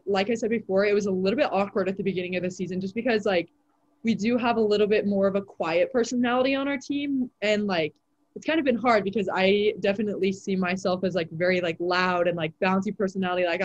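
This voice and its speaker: A teenage girl, a high school volleyball player, answering interview questions in a casual way with lots of "like" fillers. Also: muffled recording. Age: teens. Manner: chatty, many "like" fillers.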